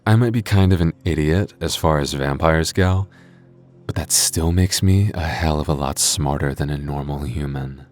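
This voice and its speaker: A man's voice: extremely low pitch, moderate pace, darkish tone, soft background music. A man voicing a young male vampire store coworker in an ASMR roleplay, flustered and teasing, with hesitant, sardonic banter. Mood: smug, teasing. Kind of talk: ASMR roleplay audio drama.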